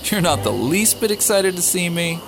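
A man's voice: high voice, very animated, moderate pace, over music. A man playing a cocky, flirtatious space smuggler in an audio drama, smug and teasing.

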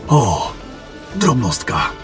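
A man's voice: medium pitch, huge pitch swings, extremely slow, exaggerated voice. A man playing a mysterious, sly stranger in a fantasy audio drama, insinuating and smug. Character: mysterious, sly stranger trading secret information. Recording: music under the voice. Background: music.

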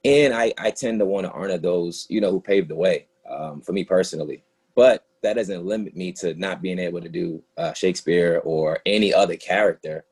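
A man's voice: sits low, normal speed, darkish tone, slightly rough. A man speaking as an actor in a casual, reflective discussion, earnest and rambling. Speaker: actor in a play reading, answering questions.

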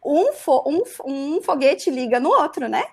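Mulher animada contando história, voz aguda. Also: female voice, high voice, a little slow, gravelly. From Santa Catarina, Brazil. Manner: animated, frequent "né" tags. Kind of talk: casual storytelling.

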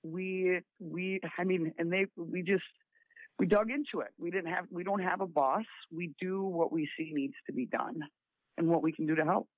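A raspy man's voice comes down a narrow, tinny phone line. He sits a little high in pitch and talks haltingly, restarting often. The sound is dry and close. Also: steady tone, no echo. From South Dakota. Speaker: guest volunteer. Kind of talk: casual phone interview.

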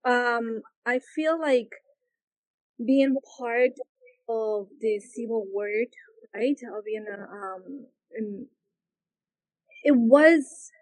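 Hesitant woman with a high voice. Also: extremely slow, pauses often, dry recording, poor audio. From Peru. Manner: hesitant, searching for words, many fillers. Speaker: yoga teacher, guest. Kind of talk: interview answers.

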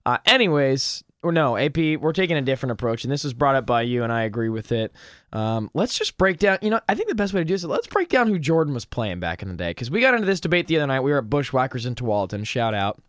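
A man with a slightly hoarse, mid-pitched voice co-hosts a casual sports chat, animated and joking with plenty of fillers. The sound is dry and close. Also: quick pace, dry recording. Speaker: sports podcast co-host.

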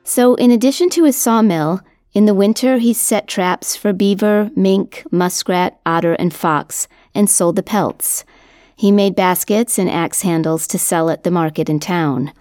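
A woman reading scripted storytelling narration for a folk history podcast in a measured, literate manner. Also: mid-range voice, a little mellow, dry recording, studio quality. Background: music under the voice.

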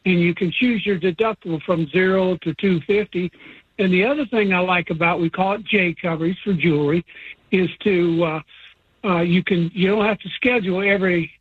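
A man's voice: sits high, normal speed, husky, no room sound. A man calling in to a radio show as an insurance agent, explaining coverage in an earnest, step-by-step way. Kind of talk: phone-in explanation. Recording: phone line.

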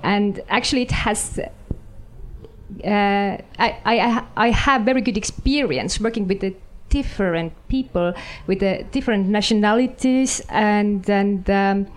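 A woman with an Estonian accent, introducing herself on a panel in a halting way with many fillers. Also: mid-range voice.

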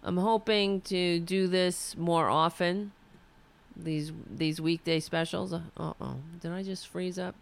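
A woman from the United States hosts her own political show, ranting with fire and stumbling into restarts. Her voice is fading and hoarse, yet it stays smooth and clear. She speaks slowly, in a slightly low register. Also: steady tone. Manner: fiery, ranting, restarts mid-sentence. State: losing her voice. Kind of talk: impassioned political monologue.

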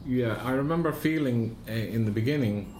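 A man with an Irish accent, reflecting haltingly in an interview with many fillers and restarts. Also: mid-range voice, a little mellow, roomy sound, a little hiss or hum. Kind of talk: reflective oral history interview.

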